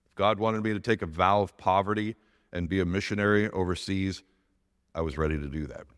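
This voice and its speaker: Earnest middle-aged man with a big, low voice. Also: brisk, big-room sound. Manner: earnest, with frequent restarts and "it is that". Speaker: church elder giving a testimony. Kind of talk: personal testimony from a church stage.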